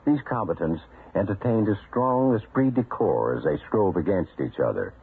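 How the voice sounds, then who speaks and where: A man's voice: deep voice, sing-song, normal speed, husky. An American man reading scripted explanatory narration for an old radio educational segment. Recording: muffled old radio recording.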